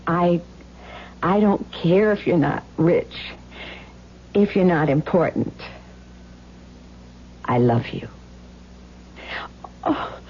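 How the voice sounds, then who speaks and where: An actress playing an anxious, loving wife in an old-time radio drama, emotional and pleading. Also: female voice, lowish voice, full character voice. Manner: emotional, pleading, repeats phrases. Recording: muffled, noisy old radio recording.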